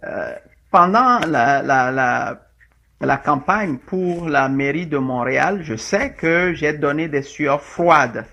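Gravelly middle-aged man. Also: a little high, lively intonation, slow pace, pushed and bright. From Togo. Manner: hesitant, many fillers and restarts. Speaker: presenter. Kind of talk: presentation talk. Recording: lo-fi with dull sound.

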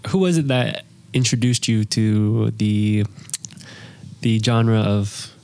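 A man asking questions in a casual interview. His voice is low and gravelly with a lot of fry. He halts and restarts mid-question, hunting for words. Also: fairly even intonation, mellow. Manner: halting questions, many backchannels.

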